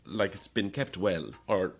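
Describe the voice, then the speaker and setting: Audio drama role: cautious, well-mannered hotel clerk, low-pitched, measured and discreet. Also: male voice, animated, creaky, breathy edge, full character voice. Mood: wary. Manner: polite, careful, halting. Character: courteous, cautious male hotel receptionist. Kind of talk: naturalistic audio drama acting.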